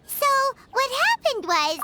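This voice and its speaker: A woman's voice: extremely high pitch, wildly swooping pitch, a little slow, very noisy, exaggerated voice. A woman voicing a small, childlike companion creature in a video game, chirpy and helpful. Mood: eager.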